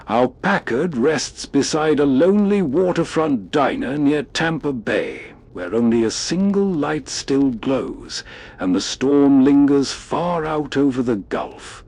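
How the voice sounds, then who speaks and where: A man's voice: on the high side, expressive, slow pace, darkish tone. A man hosting with scripted, atmospheric storytelling narration. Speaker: host, narrator.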